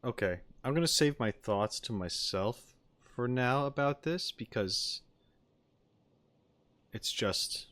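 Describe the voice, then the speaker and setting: A man doing casual live reaction commentary as a game streamer, musing and haltingly thinking aloud. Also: medium pitch, expressive, a bit bright, dry recording. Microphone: close streaming mic.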